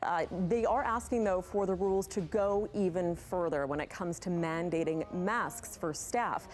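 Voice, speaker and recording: A woman reporter giving a live studio report in a clear, brisk explanatory way. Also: on the low side, a little mellow.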